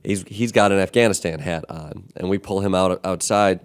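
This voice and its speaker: Low-voiced man hosting a podcast. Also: moderate pace, clean tone. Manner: animated, laughing storyteller. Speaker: podcast host. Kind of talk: casual storytelling chat. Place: small podcast studio. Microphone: large studio mic on a stand.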